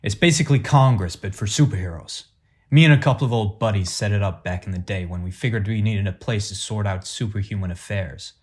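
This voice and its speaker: A man's voice: fairly low pitch, a little fast, natural voice. A man playing a grumbling, sarcastic superhero father in a radio play, with dry delivery. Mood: grudging. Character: gruff, weary, sarcastic superhero dad.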